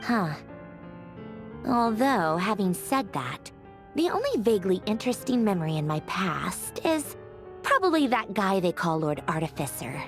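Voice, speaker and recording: A haughty young woman genius from a video game, sardonic and offhand. Her delivery swings widely in pitch with dry theatrical flair, and music plays behind her. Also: big pitch swings, measured pace. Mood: dry, wistful. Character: haughty, sharp-witted young woman, a mecha-making genius. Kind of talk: scripted video game voice acting.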